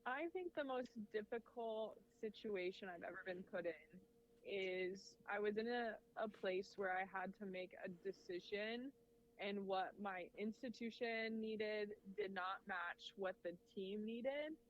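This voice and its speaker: A woman in her thirties, a volleyball coach, talking in a casual interview in a measured, reflective way with easy laughs. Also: mid-range voice. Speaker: head volleyball coach, podcast guest. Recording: dull lo-fi audio with faint noise.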